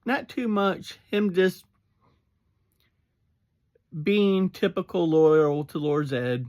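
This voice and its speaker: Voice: mid-range voice, lively intonation, extremely slow, darkish tone. A fan in their thirties or forties giving a casual, chatty spoken review, laughing now and then.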